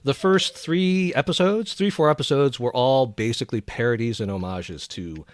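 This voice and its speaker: A man's voice: medium pitch, normal speed, no room sound, studio quality. A man speaking as a podcast panelist in casual, enthusiastic pop culture chat.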